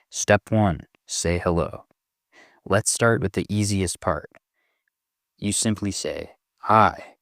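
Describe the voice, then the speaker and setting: A man narrating a scripted beginner English lesson, speaking slowly and clearly for learners. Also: low-pitched, dry recording, studio quality. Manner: slow, even, clearly spoken for learners. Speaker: English teacher.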